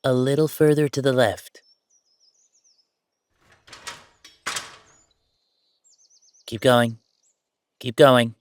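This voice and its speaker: A man playing a bossy, playful yet sentimental ghost roommate in a conversational roleplay audio drama, with animated, teasing banter. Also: medium pitch, unhurried, clear, resonant tone, no room sound, studio quality, natural voice. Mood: bossy, confident.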